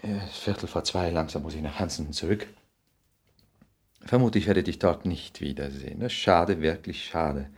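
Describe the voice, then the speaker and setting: An actor playing a cynical, polished aristocratic lord in a radio play, condescending and deliberate. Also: male voice, deep voice, slightly rough. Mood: nonchalant, cruel. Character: cynical aristocratic English lord, suave and self-regarding. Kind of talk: 1960s radio play acting.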